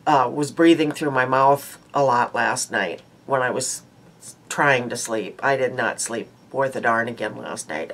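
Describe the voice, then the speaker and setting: Slow-talking stuffy older woman. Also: rumbling low. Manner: rambling, chatty, frequent ums and uhs. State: stuffy nose. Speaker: beauty vlogger. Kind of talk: casual daily makeup chat. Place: small home room indoors. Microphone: webcam at close range.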